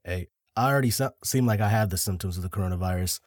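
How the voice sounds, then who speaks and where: A man's voice: sits low, quick pace, no echo, clean studio sound. A man co-hosting a podcast in a laid-back, joking way, telling a story casually. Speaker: podcast co-host.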